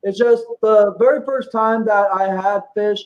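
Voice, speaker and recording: A man with a high voice who stutters, stalls on "uh" and repeats words as he talks through his thoughts as a stream guest. The audio is dull and lo-fi. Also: fairly even intonation. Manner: stuttering, halting, repeats words, many uh fillers. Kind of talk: casual live stream chat.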